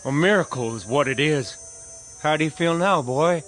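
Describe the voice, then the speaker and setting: An actor playing a solemn, folksy country preacher in a radio drama, with a rural American accent. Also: male voice, a little high, normal speed, fairly bright tone, a little hiss or hum, natural voice. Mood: wondering.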